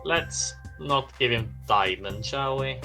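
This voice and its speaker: Bright-voiced male gamer chatting. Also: medium pitch, expressive, slow. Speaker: gamer on a group stream. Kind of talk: casual chat while gaming. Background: music.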